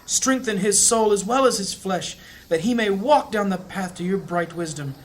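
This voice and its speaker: A man's voice: high voice, moderate pace, natural voice. A man playing an anxious, devout priest and healer in a fantasy audio drama, with an earnest manner. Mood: solemn, devout.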